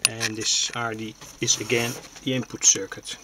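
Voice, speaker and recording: A man with a creaky voice gives a halting, unscripted technical demonstration, explaining things plainly. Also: mid-range voice, flat delivery, background noise. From Netherlands. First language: Dutch. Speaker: hobbyist radio builder, presenter. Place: indoors at a home workbench. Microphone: handheld camera's built-in microphone.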